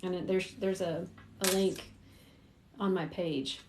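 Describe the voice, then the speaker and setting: A woman's voice: lowish voice, fairly even intonation, slow pace, noisy background. Echoey woman hosting a casual livestream chat. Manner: informal, chatty, addresses viewers directly. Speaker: host, private investigator. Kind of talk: casual livestream chat, answering viewer questions. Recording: roomy echo.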